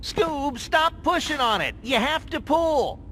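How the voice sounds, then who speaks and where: A man's voice: extremely high pitch, expressive, a little slow, noisy background. A man voicing a cowardly, always hungry teenage slacker with an American accent and a whiny, cracking voice. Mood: nervous. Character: cowardly, hungry teenage slacker boy, laid-back goofball.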